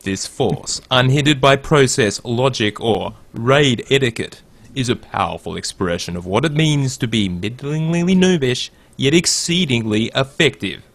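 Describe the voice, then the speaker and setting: A man's voice: mid-range voice, lively intonation, unhurried, creaky, few pauses, fairly bright tone. A man hosting a casual gaming podcast who runs through news and reads a write-up aloud. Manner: reads a write-up aloud in a mock movie-trailer voice. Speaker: podcast host.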